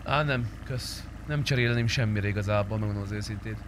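A young man streaming a roleplay game, chatting casually and grumbling with frequent swearing. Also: fairly low pitch. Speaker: gaming streamer. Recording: clear car engine noise behind. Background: game car engines and tire squeals.

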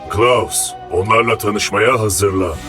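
Low male agent, solemn, self-important, cartoon, music clearly behind. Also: takes it slowly. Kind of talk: dubbed cartoon acting.

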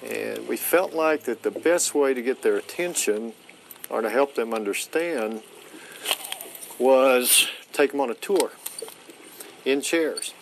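A man's voice: medium pitch, slow pace, creaky, pauses often, a little hiss or hum. A man in his forties recounting an anecdote in an archive interview, sitting outdoors in an open vehicle.

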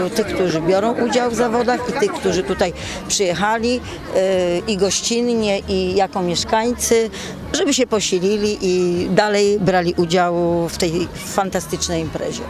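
A woman's voice: medium pitch, unhurried, crowd noise. An older woman, a village head, speaking cheerfully into a handheld mic at an outdoor festival. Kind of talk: outdoor interview.

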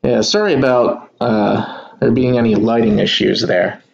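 A man's voice: mid-range voice, measured pace, vocal fry, muffled recording. A man hosting a live sports card break, talking casually to his viewers as he opens boxes at a table indoors. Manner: relaxed, chatty, addresses viewers as y'all. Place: indoor room at a kitchen table.

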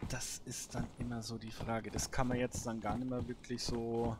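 A man's voice: mid-range voice, completely flat delivery, normal speed. A man giving casual solo gameplay commentary, musing and muttering to himself as he thinks aloud. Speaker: gaming YouTuber, let's player. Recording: clear background noise.

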